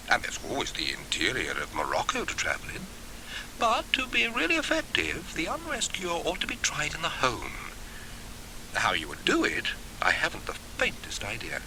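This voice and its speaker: Droll, assured male friend for a storybook acted by a male reader, very varied pitch, hissy tape. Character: droll, matter-of-fact male friend giving absurd advice. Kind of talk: acted audiobook dialogue. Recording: hissy old cassette tape.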